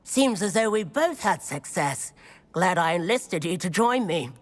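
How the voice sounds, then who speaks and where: A woman's voice: lowish voice, lively intonation, a little slow. A woman playing a cheerful young treasure hunter in a video game, breezy and exclaiming. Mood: cheerful, pleased. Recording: long echoing reverb.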